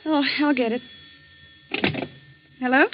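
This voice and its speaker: An American woman playing a playful, flippant grown daughter, young and engaged, in brisk 1940s radio acting style. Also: high-pitched, fairly even intonation. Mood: flustered. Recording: muffled old radio recording.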